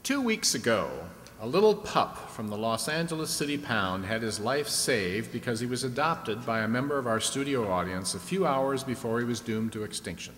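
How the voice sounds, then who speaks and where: A man's voice: mid-range voice, animated, normal speed, hardly any pauses, fairly bright tone, close to an everyday voice. Man acting as vintage radio quiz host, echoey hall. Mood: announcing. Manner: explanatory, prompting with questions. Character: genial, chatty male host of a vintage audience-stunt show. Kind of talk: old-time radio show acting. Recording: echoey hall with background noise.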